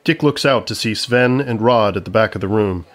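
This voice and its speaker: Man, lowish voice, narrator, scripted comedy storytelling. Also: moderate pace, fairly bright tone.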